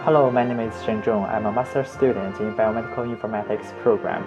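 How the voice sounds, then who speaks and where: A man's voice: lowish voice, fairly even intonation, quick pace. A man, a master's student, giving a prepared introduction and thanks. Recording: dull lo-fi audio, music behind.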